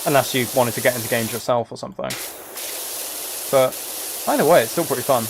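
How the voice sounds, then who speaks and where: A man with a mid-pitched voice talks casually on a livestream as he plays a game. He is soft-spoken and addresses his viewers directly. Clear background noise of game ambience and spraying sits behind him. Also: fast. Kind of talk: casual livestream chat while playing a game. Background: game ambience and water spray.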